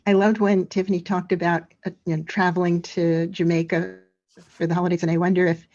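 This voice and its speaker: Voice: medium pitch, level tone, fairly quick, darkish tone, muffled recording. A co-host chatting casually and admiring the drawing as it is made. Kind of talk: casual chat.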